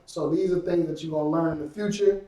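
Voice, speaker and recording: A man teaching a language class, drilling words slowly and quizzing his student. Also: a little high, dark tone, far from the mic. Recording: echoey room, voice from off-mic.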